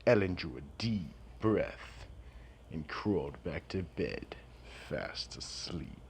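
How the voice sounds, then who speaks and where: Male narrator: very low, gravelly, slow and ominous. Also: animated, slight background noise. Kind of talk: scripted radio drama narration.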